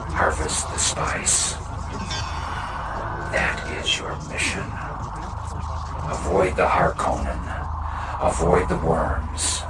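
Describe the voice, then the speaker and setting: An actor playing a cold, calculating, machine-like Mentat adviser in a game cutscene, speaking in clipped, deadpan pronouncements. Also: male voice, a bass voice, takes it slowly, long pauses, loud music behind the voice. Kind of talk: video game cutscene acting, mission briefing. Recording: echoey, distant, loud background noise.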